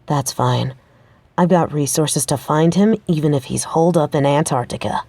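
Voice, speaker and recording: Woman acting a mellow, determined female researcher. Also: low voice, normal speed, dry recording. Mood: frustrated, resolute. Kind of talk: audio roleplay acting, addressing the listener.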